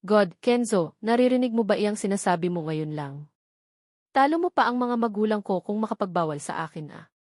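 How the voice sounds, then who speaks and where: A woman's voice: medium pitch, animated, a little fast, a little mellow, no echo, studio quality, close to an everyday voice. A woman voicing a feisty, defiant young heroine in a romance audiobook, with a sassy manner. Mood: indignant, defiant. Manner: indignant, sassy.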